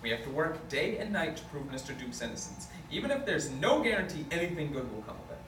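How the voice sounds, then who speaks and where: A man's voice: fairly high pitch, sing-song, quick pace, fairly bright tone, soft background music. A man reading the part of an earnest, bossy lawyer given to speeches in an amateur script table read. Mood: rousing. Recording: distant, echoey, noisy room.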